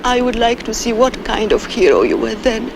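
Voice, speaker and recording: A woman's voice: on the high side, big pitch swings, moderate pace, noisy background. A middle-aged actress playing a desperate wife who pleads urgently and defiantly to save her husband. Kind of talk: 1960s TV crime drama acting. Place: outdoors in a city alley.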